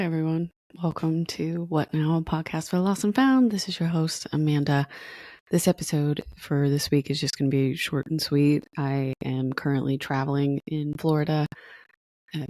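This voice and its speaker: A tired, hoarse woman hosting her podcast, chatting casually and rambling with fillers and restarts. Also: low-pitched, moderate pace, mellow, dry recording. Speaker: podcast host. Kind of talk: casual solo podcast chat.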